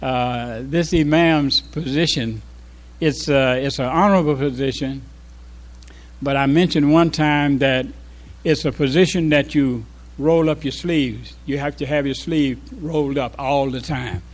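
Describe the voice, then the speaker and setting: An older man, a former imam, speaks informally to a congregation, reminiscing in a halting, reflective way with pauses mid-sentence. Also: medium pitch. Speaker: former resident imam giving an address.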